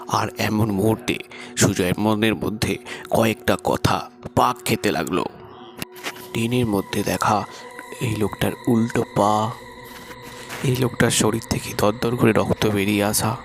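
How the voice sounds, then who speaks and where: A man's voice: on the low side, slight background noise. Male horror-story narrator. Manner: measured, steady storytelling.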